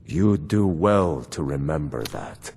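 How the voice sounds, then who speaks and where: A man voicing a stern, loyal steward of a fantasy court in a video game, speaking formally and with measured admonishment. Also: rumbling low, animated, vocal fry, darkish tone, husky, heavily acted. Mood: stern, rebuking. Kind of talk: scripted game dialogue. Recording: long hall reverb.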